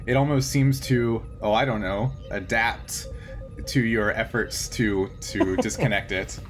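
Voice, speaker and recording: A man working as game master, narrating a tabletop game in a halting, conversational way with frequent chuckles. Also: on the low side, soft background music.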